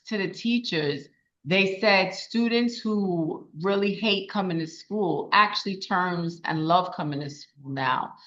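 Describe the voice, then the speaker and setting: Middle-aged woman, raspy tired voice, upbeat chatty meeting report, dull lo-fi sound. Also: on the low side, fairly bright tone. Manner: enthusiastic, chatty, with frequent restarts and laughs. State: tired voice after a long day. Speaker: education official giving a report at a council meeting. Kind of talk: informal spoken report at a public meeting.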